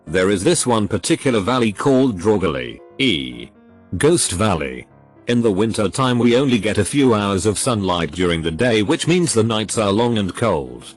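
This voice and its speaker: A man with a fairly low voice reads stories aloud as scripted narration, his pitch rising and falling in a lively way. He spells abbreviations out letter by letter. Soft music plays faintly behind him. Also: moderate pace. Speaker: narrator reading forum posts. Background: faint background music.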